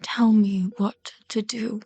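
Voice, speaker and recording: A woman's voice: medium pitch, little pitch movement, mellow. A woman playing an obsessive, lovelorn villain in an ASMR roleplay, bitter and pleading, speaking intimately and dramatically with frequent drops toward a whisper. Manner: slow, intimate, dramatic delivery, often dropping to a whisper.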